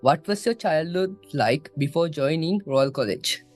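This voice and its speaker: Young Sri student host, higher-pitched, polite and scripted. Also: male voice, lively intonation, a little slow, soft background music. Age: young adult. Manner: polite, addresses guest as sir. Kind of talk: scripted interview questions.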